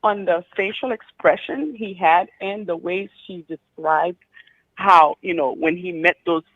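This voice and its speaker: A man calling in on a phone line, a former police officer, hesitant, with many pauses and restarts. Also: high voice, dry recording. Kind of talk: casual call-in chat.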